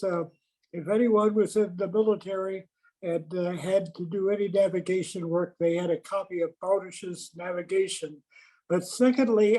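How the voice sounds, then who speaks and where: Male club member, notably high voice, informal remarks in an online meeting. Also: a little mellow, poor audio.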